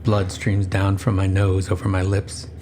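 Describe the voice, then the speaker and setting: Very deep-voiced man narrating horror. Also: completely flat delivery, darkish tone, a little hiss or hum. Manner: grave, intense, drawn-out phrasing. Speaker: horror story narrator and writer. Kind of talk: dramatic first-person horror narration.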